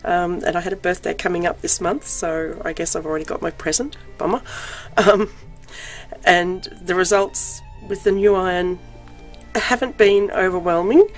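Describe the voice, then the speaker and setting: A middle-aged woman with a croaky voice from being unwell, chatting casually about her craft projects with lots of ums. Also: on the low side, normal speed, faint music behind, poor audio. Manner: chatty, frequent ums, self-deprecating. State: croaky voice from illness. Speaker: crafting vlogger. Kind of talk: casual show-and-tell.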